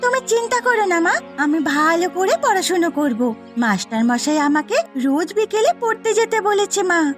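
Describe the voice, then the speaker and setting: A woman plays a sweet little schoolgirl in a cartoon fairy tale. The voice is very high and lively, childlike and earnest, with music underneath. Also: normal speed. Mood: cheerful, reassuring. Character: sweet earnest young schoolgirl daughter, eager and obedient.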